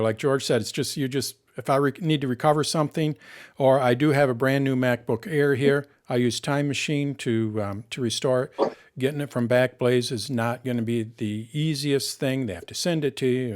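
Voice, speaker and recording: A man with a Michigan accent hosting a live tech question session, explaining at ease with everyday examples and frequent pauses. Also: mid-range voice. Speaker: host answering tech questions. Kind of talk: live Q&A chat.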